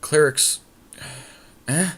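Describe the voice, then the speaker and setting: A man's voice: drawn-out words, fairly bright tone. A man co-hosting a casual podcast, talking through a card game draft in a blunt, opinionated way. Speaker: podcast co-host.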